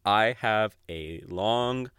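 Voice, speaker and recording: Male podcast host, low-pitched and dry-recorded. Casual, rambling, giggly and a bit self-conscious. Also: drawn-out words, a bit bright, clean tone, dry recording.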